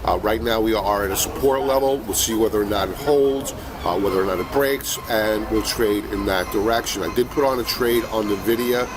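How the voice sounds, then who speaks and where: Casting: lower-voiced middle-aged man with a head cold, chatty trading show host, city traffic clearly audible behind. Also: moderate pace, hardly any pauses. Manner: conversational, many fillers and restarts. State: has a cold, sniffling. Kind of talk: casual market commentary.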